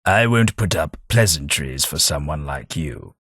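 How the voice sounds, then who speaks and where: A man's voice: expressive, fairly bright tone, breathy edge, no echo, exaggerated voice. An actor voicing a refined, sardonic old male ghoul companion in a game, dry and cutting in manner. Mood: contemptuous. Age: older. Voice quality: low, dry, measured and cutting. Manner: refined, sardonic, easily offended.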